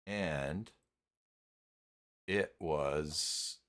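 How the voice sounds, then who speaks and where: A man hosting a casual live software tutorial, talking haltingly and muttering to himself as he works through things on screen. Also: very deep voice. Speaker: live stream host, software tutorial presenter. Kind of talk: casual unscripted screen-share walkthrough.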